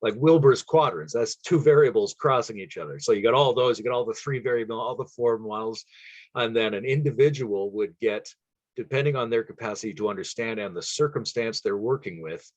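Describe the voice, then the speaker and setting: A man's voice: medium pitch, fairly quick, no room sound, dull audio. A man talking as a guest on a podcast, spinning long theoretical ideas in a loose, musing way, chuckling now and then. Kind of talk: casual conversation.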